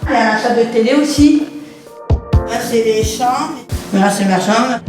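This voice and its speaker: A woman's voice: fairly high pitch, measured pace, over loud music. A woman showing her home indoors and pointing out the rooms in short phrases. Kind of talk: home tour. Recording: very echoey indoor room.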